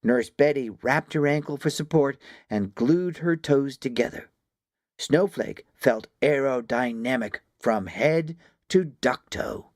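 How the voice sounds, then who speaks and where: A man narrating a children's story from a script, with expressive, deliberate phrasing. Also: medium pitch, no room sound, clean studio sound. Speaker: audiobook narrator.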